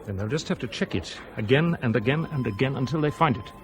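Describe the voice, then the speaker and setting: An actor playing a stubborn, agitated airline captain in a radio drama, curt, indignant and insistent in defending himself. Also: male voice, mid-range voice, animated, fast, noisy background, natural voice. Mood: stubborn, dismissive.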